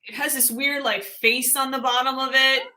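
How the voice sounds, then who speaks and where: A woman's voice: high-pitched, fairly even intonation, normal speed, sharp tone. A woman with a Long Island accent, a guest musician chatting casually in a live-stream interview, often trailing off and laughing.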